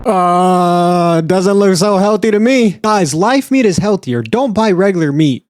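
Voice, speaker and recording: A man's voice: sits high, expressive, a little slow, darkish tone, slight background noise. A man giving casual, slangy, sardonic reaction commentary as a streamer.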